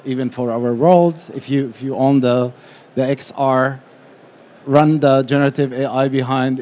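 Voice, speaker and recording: A man's voice: mid-range voice, a little mellow. A man giving conversational remarks as a panelist, speaking in short, broken phrases. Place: conference event panel. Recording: live panel with background noise.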